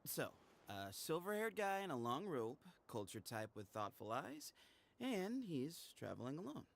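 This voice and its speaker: An actor playing the sly, teasing leader of an armed band in a video game, with a glib, mocking, casual manner. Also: male voice, on the high side, sing-song, a little slow, gravelly, full character voice. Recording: faint background noise.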